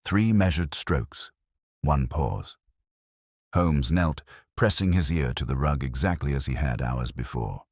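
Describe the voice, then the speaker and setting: A man reads a scripted mystery story in a measured, atmospheric, dramatic way. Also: a bass voice, husky, no echo, studio quality. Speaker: audiobook narrator.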